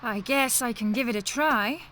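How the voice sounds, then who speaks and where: A woman's voice: a little high, normal speed, bright, forceful tone, a little hiss or hum. An actress playing a confident, sassy young female mage in a video game cutscene. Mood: sassy, casual.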